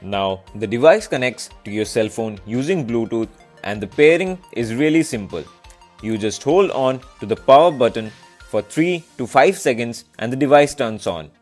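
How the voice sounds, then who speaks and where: A man's voice: mid-range voice, expressive, normal speed, darkish tone. A man presenting a scripted product review as a video reviewer, in narration style. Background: background music.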